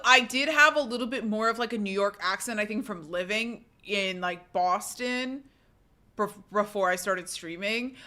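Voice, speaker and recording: A young woman with a bright, somewhat high voice chats loosely with her live audience, full of fillers, restarts and casual swearing. She reads comments aloud and answers them in an easygoing way. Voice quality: says her voice is fairly low and relaxed when tired. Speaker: streamer.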